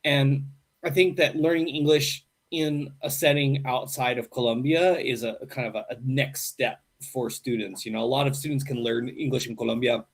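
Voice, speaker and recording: A middle-aged man from the United States, an English teacher, answering interview questions in a relaxed, chatty way and often adding "right?". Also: mid-range voice, normal speed. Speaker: English teacher at a university program.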